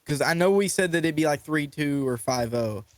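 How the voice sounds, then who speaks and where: A man co-hosting a podcast, chatting casually in a jokey, excitable way. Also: on the high side, a little fast, no echo. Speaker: podcast co-host. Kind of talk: casual chat.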